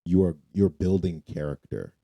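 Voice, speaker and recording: A man's voice: sits low, lively intonation, moderate pace, vocal fry, dark tone. A man co-hosting a casual faith podcast, affirming with short agreements and sayings. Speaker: podcast co-host.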